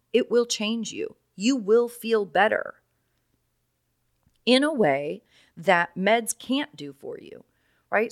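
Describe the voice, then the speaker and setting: A woman with a naturally raspy voice, hoarse right now, talking casually and earnestly as a solo podcast host. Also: a little high, no room sound, studio quality. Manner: encouraging, earnest, many "right?" asides.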